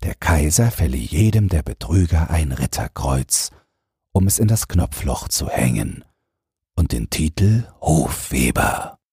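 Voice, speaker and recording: Deep, very husky male storyteller. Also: big pitch swings, measured pace, dark tone, dry recording, studio quality. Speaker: audiobook narrator. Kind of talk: scripted fairy-tale narration for bedtime.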